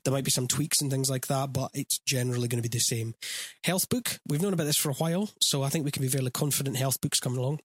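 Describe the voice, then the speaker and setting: A man with a mild Scottish accent hosts a relaxed tech chat, talking fast and filling his gaps with ums. His voice sounds dry and close, with no room around it. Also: mid-range voice, husky, dry recording. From Scotland. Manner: chatty, steers the talk, many ums. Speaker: podcast host. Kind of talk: casual tech podcast chat.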